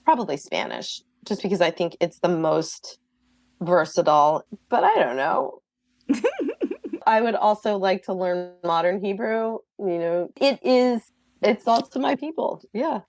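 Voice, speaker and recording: A woman's voice: medium pitch, expressive, a little slow, a little mellow, dry recording. A middle-aged woman, a guest author, answering podcast interview questions in an earnest, thoughtful way.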